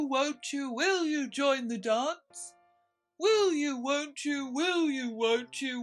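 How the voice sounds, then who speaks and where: A woman voicing a mournful turtle creature who performs a slow, lilting verse from a storybook. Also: high voice, pitched above the performer's own voice.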